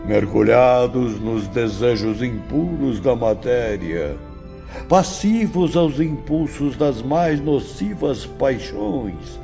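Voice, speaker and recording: A man playing a solemn, stern spirit instructor who lectures his students in a grave, measured, oratorical way in an audiobook drama. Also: mid-range voice, vocal fry, mellow. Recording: dull audio with music behind.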